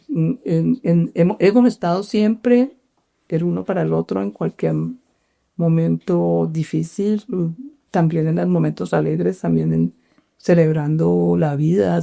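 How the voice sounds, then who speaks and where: A middle-aged woman from Cali, Colombia, with a Colombian accent, telling her life story in an interview, halting with many fillers and restarts. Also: fairly low pitch, gravelly, dark tone. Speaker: interview guest.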